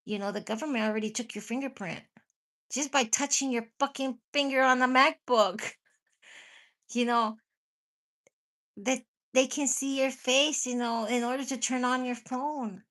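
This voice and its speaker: A woman with a stuffy nose talks loosely to her live chat, hopping from thought to thought and dropping the odd swear. Her voice sits a little high and rings bright and forceful. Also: steady tone, normal speed. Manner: rambling, laughs often, swears casually. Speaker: livestream host chatting with viewers.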